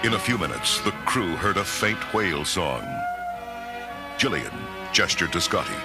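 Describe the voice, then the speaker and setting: A man reads a scripted children's adventure story as narrator. His voice is fairly low, and very loud music plays behind it throughout. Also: big pitch swings, normal speed. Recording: narration over very loud music, rumbling effects.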